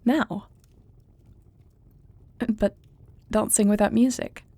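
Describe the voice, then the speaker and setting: A woman playing an earnest young princess and heir in an intimate audio roleplay, soft-spoken and formal in her phrasing. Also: medium pitch, fairly even intonation, slow, creaky, slightly rough, no room sound, exaggerated voice. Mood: fond, playful. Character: earnest young princess and warrior heir, anxious yet tender.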